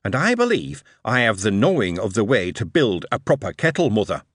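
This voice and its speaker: A man's voice: fairly low pitch, very animated, brisk, a bit bright, dry recording, studio quality, full character voice. A man doing an eager young Yorkshire lad. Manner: eager, words tumbling out.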